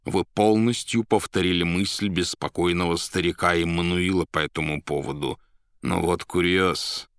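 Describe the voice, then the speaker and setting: Male reader as mysterious foreign gentleman, deep, theatrical, polite, slyly menacing, savouring each word, storybook narration. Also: fairly even intonation, normal speed, clean tone, dry recording, studio quality. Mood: delighted.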